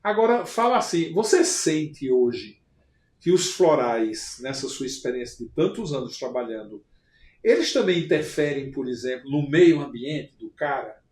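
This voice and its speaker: A man hosting a podcast interview, telling stories in an animated way and asking questions. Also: mid-range voice, unhurried, distant mic. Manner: animated storyteller, leading questions. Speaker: podcast host. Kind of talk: conversational interview. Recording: roomy echo.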